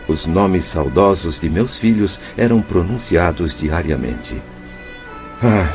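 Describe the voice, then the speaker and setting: A man's voice: low voice, a little mellow. An actor playing an anguished, repentant male spirit in a radio drama, plaintive and formal in his wording. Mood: moved, hopeful. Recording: muffled lo-fi audio with music. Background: background music.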